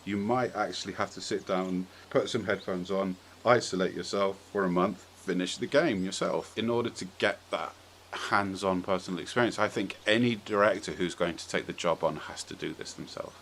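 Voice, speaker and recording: A man's voice: deep voice, normal speed, fairly bright tone, slight background noise. A man hosting a podcast, talking casually and giving his opinions with emphatic, sweary phrasing. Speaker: podcast host.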